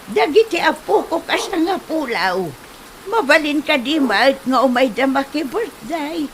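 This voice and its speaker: Pushy village grandmother played by a woman, Ilocano accent, high and swooping voice, comedy drama. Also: lively intonation, a little slow, slight background noise. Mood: eager, cheerful.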